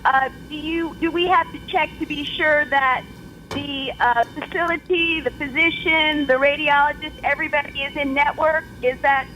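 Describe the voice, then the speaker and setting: High-pitched woman calling in by phone. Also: pushed and bright. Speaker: caller. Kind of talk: asking questions on a call-in show. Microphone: telephone. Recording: noisy phone call to radio.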